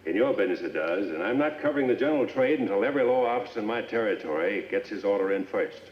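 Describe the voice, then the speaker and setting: A man's voice: lowish voice, lively intonation, quick pace, natural voice. An actor playing a businesslike traveling gun salesman in a radio western, with plain-spoken, assured sales patter. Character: businesslike traveling frontier gun salesman, proud of his wares. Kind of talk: old-time radio western acting. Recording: muffled, echoey old radio recording, faint noise.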